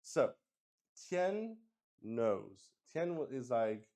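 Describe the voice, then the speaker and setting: A man improvising game narration for a solo tabletop roleplay stream, musing out loud in a halting way. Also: mid-range voice, sing-song. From Luxembourg.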